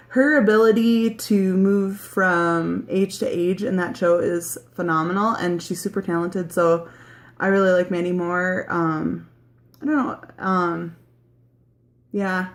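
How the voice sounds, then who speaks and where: A woman's voice: on the low side, unhurried. A woman in her late thirties chatting casually through a get-to-know-me vlog, rambling with many ums and likes. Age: 30s. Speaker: vlogger. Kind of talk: casual chat answering a tag video.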